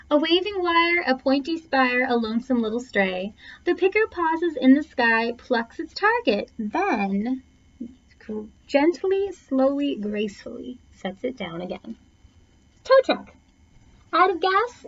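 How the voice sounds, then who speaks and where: A woman reading a rhyming children's picture book aloud with theatrical phrasing, stretching and punching key words. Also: high-pitched. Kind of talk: expressive children's storybook read-aloud, rhyming verse. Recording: dull, lo-fi sound.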